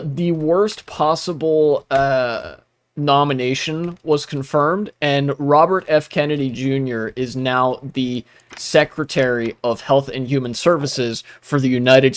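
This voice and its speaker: A man's voice: fairly high pitch, no echo. Young guy streamer, chatty and rambly, voice right up close and dry, keeps restarting his sentences. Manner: rambling, with frequent restarts and blunt asides. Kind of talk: casual live-stream chat while gaming.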